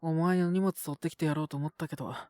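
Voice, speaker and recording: A woman voicing a gruff, teasing teenage schoolboy who secretly cares, in a boys' love audio drama. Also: fairly even intonation, quick pace, dark tone, no room sound, studio quality, close to an everyday voice. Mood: teasing, caring. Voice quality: low and husky. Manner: blunt, teasing, rough casual boyish speech.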